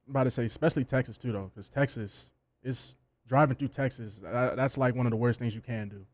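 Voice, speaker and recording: A man co-hosting a sports radio show, talking in a relaxed, colloquial way and chiming in with agreement. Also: medium pitch, fast, no room sound. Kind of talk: casual sports talk chat.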